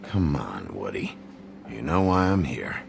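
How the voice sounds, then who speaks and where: A man playing a world-weary, tough sheriff who is the Big Bad Wolf, terse and sardonic with a gravelly voice, in a hard-boiled video game. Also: extremely low pitch, big pitch swings, a little slow, over music, exaggerated voice. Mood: stern, dry.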